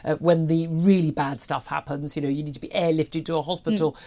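A woman's voice: low-pitched, steady tone, fairly quick, warm tone. A British woman in her fifties talking through the news as a talk show host, chatty, emphatic and opinionated. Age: 50s.

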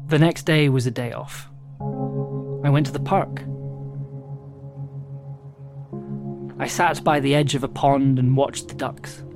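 Performer as anxious bar worker, mid-pitched, intimate audio drama narration, loud music underneath. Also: fairly even intonation, normal speed, natural voice. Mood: subdued, weary. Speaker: writer and performer.

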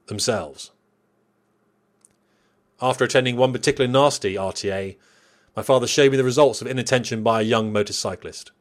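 A middle-aged man reading a personal memoir as scripted narration, in a measured, reflective storytelling manner. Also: on the low side.

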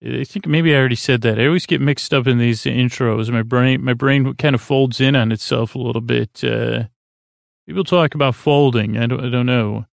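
Creaky, meandering American man, sleep podcast host. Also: mid-range voice, fairly even intonation, no echo. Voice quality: creaky, lulling tone. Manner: meandering, halting, stumbling over words with many fillers. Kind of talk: rambling bedtime monologue meant to lull listeners to sleep.

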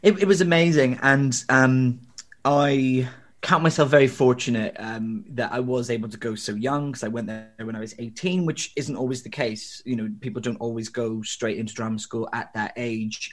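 A man's voice: mid-range voice, normal speed. A young man from Northern Ireland with a faint, diluted Northern Irish accent, a theatre director talking reflectively in an interview, with plenty of fillers and restarts. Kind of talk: conversational interview.

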